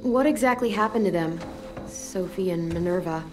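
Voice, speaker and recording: A woman playing a guarded, weary teenage girl survivor in a video game, speaking in a measured, matter-of-fact way. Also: on the low side, music in the background, lightly acted. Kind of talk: video game drama dialogue.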